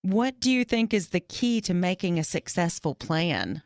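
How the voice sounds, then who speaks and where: A woman playing an interviewer in a scripted practice interview for English learners, reading questions clearly. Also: fairly low pitch, very animated, normal speed, no room sound, studio quality.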